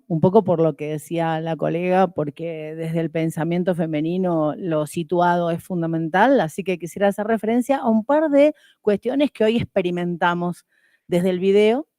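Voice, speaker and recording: Woman from argentina giving a formal panel talk, fluent and measured, mellow tone, dry sound. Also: lowish voice, no room sound. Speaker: university rector, panelist.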